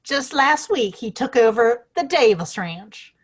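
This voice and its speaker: A woman's voice: a little high, expressive, a little slow, husky. A woman playing a feisty, outspoken small-town woman in a western audio play, with a folksy, plain-spoken manner. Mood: indignant.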